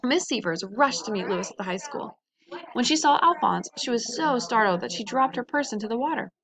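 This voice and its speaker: A woman's voice: fairly high pitch, lively intonation, brisk, a crowd in the background, low-quality recording. A woman reading a children's story aloud in a narrating style. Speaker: storybook reader.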